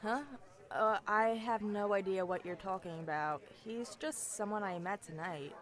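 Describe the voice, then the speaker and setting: A woman's voice: mid-range voice, normal speed, close to an everyday voice. A woman acting a young elf assassin in a fantasy audio drama, charming and sweetly feigning innocence. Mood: sly, frank. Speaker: voice actor in an audio roleplay.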